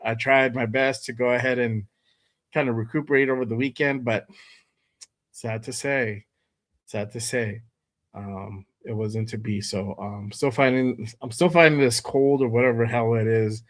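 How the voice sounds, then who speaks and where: Man, hoarse from a cold, casual and energetic stream host with plenty of slang and shout-outs. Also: medium pitch, normal speed. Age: adult with kids. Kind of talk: casual live-stream sports chat. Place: at home.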